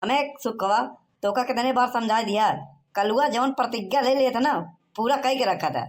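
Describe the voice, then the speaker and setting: Woman voicing a high-voiced, preachy village elder. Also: normal speed, close to an everyday voice. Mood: scolding. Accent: Awadhi. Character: preachy, good-natured village elder man Banwari. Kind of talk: comic cartoon dialogue.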